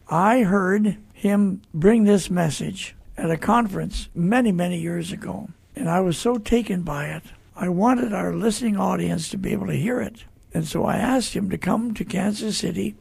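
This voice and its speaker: An American man hosting a religious talk radio show in a folksy, earnest, conversational way, with a gravelly, husky voice. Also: high voice, darkish tone. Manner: folksy, earnest, addresses listeners directly.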